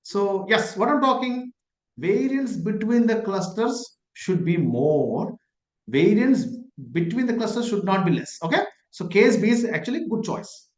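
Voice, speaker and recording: An instructor with an Indian English accent, teaching an online class over a laptop mic on a video call. The voice sits at an ordinary middle pitch and keeps throwing out rhetorical questions to the students. The office room gives it a noticeable echo. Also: expressive, unhurried. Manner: repeats key points. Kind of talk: online lecture. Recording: echoey room.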